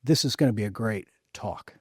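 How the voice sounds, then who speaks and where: A man's voice: on the low side, a little fast, darkish tone, breathy edge, no room sound, studio quality. A man hosting a conversational podcast interview, upbeat and encouraging, repeating phrases for emphasis.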